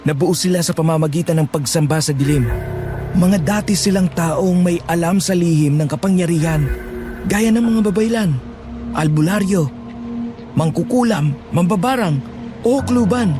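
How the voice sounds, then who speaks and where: A man's voice: high-pitched, moderate pace, music in the background, close to an everyday voice. A man playing a talkative, teasing young villager who explains monster lore informally in a horror audio drama. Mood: eager, explaining.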